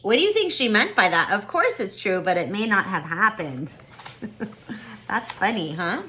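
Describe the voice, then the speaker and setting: A woman with a bright, forward voice teaches children over a video call. She moves between casual, encouraging chat and expressive storybook reading. Some room noise sits behind her. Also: mid-range voice, measured pace. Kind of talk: online storybook read-aloud with casual chat.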